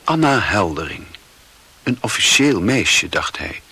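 A man narrating a literary radio drama in a measured, dramatic way. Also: lowish voice, big pitch swings, sharp tone, husky.

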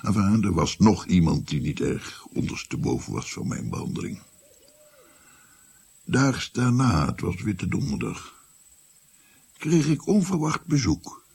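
A man's voice: deep voice, wildly swooping pitch, a little slow, long pauses, a little mellow, exaggerated voice. An actor playing an old physician, a grandfather who recounts his past in a reflective, rueful radio drama narration. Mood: wry, reflective. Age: older. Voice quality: gravelly, husky.

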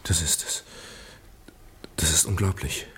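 An actor playing a flustered young English gentleman under suspicion in a radio play, halting and protesting. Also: deep voice, slight background noise. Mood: shocked. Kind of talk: scripted radio drama dialogue.

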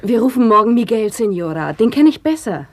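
An actress playing a dutiful, plain-spoken maidservant in a radio play, deferential but a little doubting. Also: female voice, on the high side, sing-song, brisk. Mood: concerned, consoling. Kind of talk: 1960s German radio play acting.

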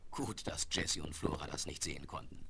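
A man's voice: rumbling low, brisk, creaky, whispered. A man playing the storyteller of a children's radio play, reading scripted narration. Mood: narrating. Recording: crackly 1970s vinyl record rip.

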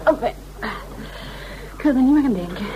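A woman's voice: high-pitched, expressive, brisk, dull audio, a crowd in the background. A woman acting in a Dutch radio play as a chatty, blunt, busy friend with a breezy, exclamatory way of talking. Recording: clearly noisy radio play recording.

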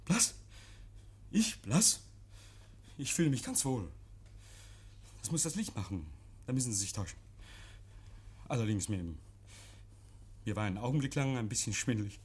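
A man's voice: mid-range voice, big pitch swings, normal speed, long pauses, husky. An actor in a 1950s radio play playing a nervous, paranoid man hiding guilt, agitated and stammering. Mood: flustered, insistent. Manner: agitated, stammering, insistent, hears imaginary noises. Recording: vintage 1950s radio recording.

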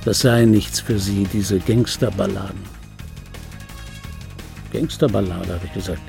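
A male actor playing a vain, aggrieved middle-aged dubbing actor in a German radio drama monologue, insistent and repetitive, with a raspy voice. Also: on the low side, steady tone, a little slow, warm tone, faint music behind. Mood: irritated. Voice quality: raspy, gravelly. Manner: insistent, repetitive, self-justifying. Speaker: narrator of a radio play. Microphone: close studio microphone, dry.

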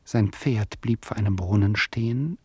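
An actor narrating a radio play as a measured, wry storyteller who addresses the listener. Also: male voice, lowish voice, a little mellow, breathy edge, no room sound. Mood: wry storytelling.

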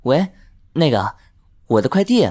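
A man's voice: fairly high pitch, big pitch swings, measured pace, very noisy. A man playing a flustered, easily smitten young homebody in an audio drama, speaking hesitantly and stammering. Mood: shy, nervous. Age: young adult. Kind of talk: Chinese audio drama acting with inner monologue. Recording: music under the voice. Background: music.

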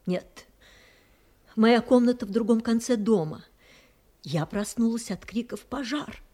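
An actress playing a guarded, plaintive housekeeper in an audio drama, answering in a defensive manner. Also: female voice, a little high, a little slow, warm tone. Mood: plaintive, grieving. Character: guarded, mournful female housekeeper devoted to her master. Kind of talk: Russian audio drama acting.

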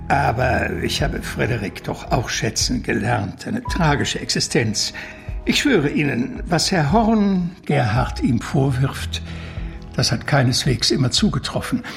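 Creaky, expressive cultured man confessing. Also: medium pitch. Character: cultured art-world doctor, anguished, self-justifying witness. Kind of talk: German radio drama acting.

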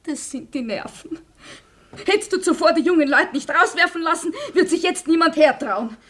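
A woman's voice: extremely high pitch, expressive, normal speed, a bit bright. A woman playing a long-suffering middle-aged wife in a German radio play, outspoken and querulous. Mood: reproachful.

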